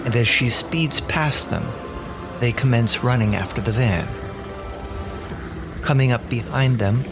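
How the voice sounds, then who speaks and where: A man narrating a scripted audio drama as the storyteller. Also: mid-range voice. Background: music bed.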